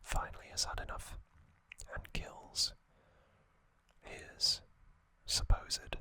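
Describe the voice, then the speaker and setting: A man whispering trivia as an ASMR host into a close microphone, softly, with frequent ums and uhs. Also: slow pace, no room sound. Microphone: close sensitive mic.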